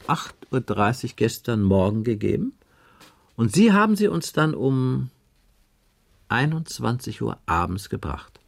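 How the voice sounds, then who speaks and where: An actor playing a stern detective inspector in a German radio crime drama, questioning in an insistent, clipped, probing manner. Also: male voice, medium pitch, creaky, full character voice. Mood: grave, insistent.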